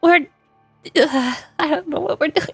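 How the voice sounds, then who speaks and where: A woman's voice: high voice, unhurried, gravelly, no echo, faint music behind. A woman VTuber giving playful game commentary and reading on-screen text aloud. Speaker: VTuber streamer.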